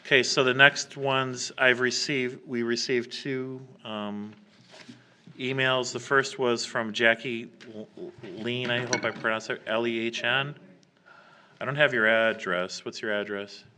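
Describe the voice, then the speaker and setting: A man chairing a public meeting in an informal, halting way, reading out names and written comments. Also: mid-range voice, steady tone, pushed and bright. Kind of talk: running a public meeting, reading emails aloud. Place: council chamber, public meeting.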